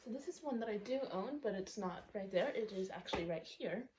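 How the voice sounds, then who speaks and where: A woman's voice: medium pitch, animated, brisk, background noise. A young woman chatting casually in a book vlog, rambling and correcting herself with lots of ums, her speech a little altered by the retainer she is wearing. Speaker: book vlogger. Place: outdoors or by a sunny window at home.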